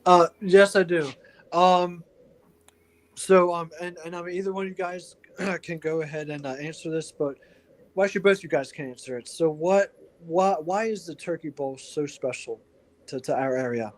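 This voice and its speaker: A man's voice: fairly high pitch, moderate pace. A man, hesitantly putting an interview question on a sports show.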